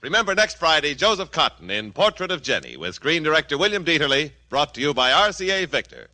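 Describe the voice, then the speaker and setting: Bright, animated American vintage radio announcer. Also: male voice, fairly high pitch, fast. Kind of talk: 1950s radio announcing and sponsor pitch.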